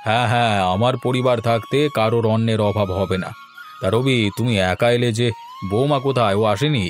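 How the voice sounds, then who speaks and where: A man's voice: mid-range voice, steady tone, fairly quick, faint music behind, natural voice. A man playing a generous old grandfather and village landlord in a fairy-tale cartoon, hearty and hospitable. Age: older.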